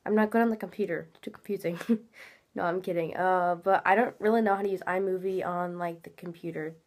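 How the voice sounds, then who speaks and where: A woman's voice: fairly low pitch, fairly even intonation, a little fast, a little mellow. Teen girl host, stuffy-nosed and chatty, rambling gameplay commentary from a home webcam. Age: teens. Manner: chatty, rambling, many fillers. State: congested, a little nasal. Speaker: gaming channel host. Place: small room at home, indoors.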